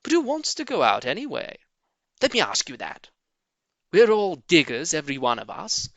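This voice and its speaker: Guy doing a storybook mr fox type, confident speechmaker, bright and animated, rallying his pals. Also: on the high side, moderate pace, dry recording, heavily acted. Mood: earnest, persuasive. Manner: grand speech-making to friends. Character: jovial male fox leader, rousing and confident.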